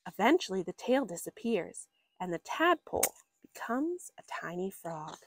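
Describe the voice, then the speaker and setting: A woman reading a children's nature picture book aloud with expressive, drawn-out emphasis for young listeners. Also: mid-range voice, slight background noise. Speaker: story reader for children.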